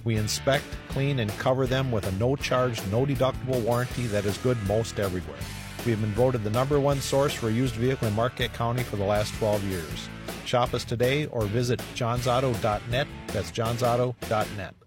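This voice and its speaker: A man's voice: mid-range voice, fairly quick. A man reading a local radio advertisement for his used car dealership. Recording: ad with music bed.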